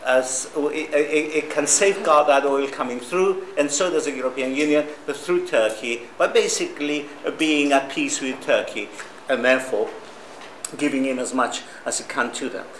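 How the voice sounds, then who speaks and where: Halting male conference speaker in an echoey room. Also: fairly high pitch, fairly bright tone. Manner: halting, hesitant, with frequent pauses and repeated starts. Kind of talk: semi-prepared conference talk. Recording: roomy echo with faint background noise.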